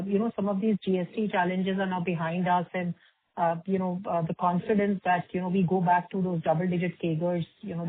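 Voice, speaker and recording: A woman's voice: on the low side, no echo. A woman with an Indian accent, an analyst putting questions on an earnings call in a polite, hesitant, wordy way. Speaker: financial analyst asking questions. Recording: dry narrowband phone line.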